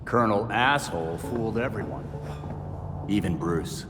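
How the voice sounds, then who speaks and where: A man's voice: fairly low pitch, moderate pace, natural voice. A man playing a blunt, sardonic, tough vigilante with a coarse manner in a video game. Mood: scornful. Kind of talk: video game cutscene acting. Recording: reverberant hall, music underneath.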